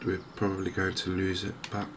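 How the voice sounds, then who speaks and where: A man softly narrating a game in ASMR style, hushed and hesitantly thinking aloud. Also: sits low, monotone, fairly quick. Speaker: gaming video host. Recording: noticeable background ambience noise. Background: game ambience.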